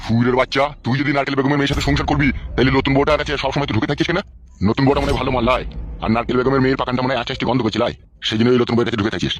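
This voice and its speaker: Man acting a rapid-fire bullying village politician. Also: mid-range voice, steady tone, close to an everyday voice. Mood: angry, interrogating. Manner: hectoring, interrogating, coarse. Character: bullying, scheming local male politician, scolding and crude. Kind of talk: comic cartoon dialogue in colloquial rural Bengali.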